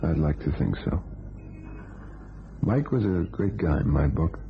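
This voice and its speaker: An actor playing Rusty Connors's type, a tough, scheming ex-convict with terse slangy talk, in a crime radio play. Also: male voice, very deep voice, animated, measured pace, gravelly, exaggerated voice. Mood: confiding. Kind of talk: old-time crime radio play acting. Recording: tinny band-limited old radio, music behind.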